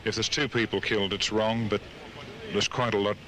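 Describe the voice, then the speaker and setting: A man's voice: on the low side, flat delivery, normal speed, pushed and bright. A man with an Australian accent speaking formally as a police inspector in a field interview. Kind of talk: official statement in field interview. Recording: noisy outdoor field tape.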